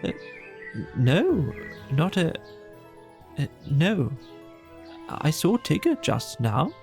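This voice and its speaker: A woman voicing a simple, gentle toy bear in a children's story, halting and humble. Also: sits low, huge pitch swings, faint music behind, heavily acted. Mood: bashful.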